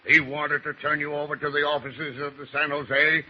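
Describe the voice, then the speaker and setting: An actor playing a scheming, folksy seafaring ship's master who speaks in salty dialect in an old radio drama. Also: male voice, fairly high pitch, flat delivery, fairly quick, close to an everyday voice. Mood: angry, commanding. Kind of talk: 1940s radio drama acting. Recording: muffled, noisy old radio recording.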